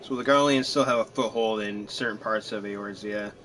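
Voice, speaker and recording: A man's voice: on the low side, fairly even intonation, moderate pace, sharp tone, a little hiss or hum. A man giving casual gameplay commentary, rambling and joking as he thinks aloud. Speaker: gamer commenting on a playthrough.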